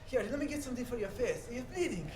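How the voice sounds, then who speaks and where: Anxious immigrant tavern keeper, 1940s radio play, high voice, echoey stage. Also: male voice, sing-song, quick pace, a little mellow. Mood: pleading, concerned. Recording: echoey stage, far from mic, noisy.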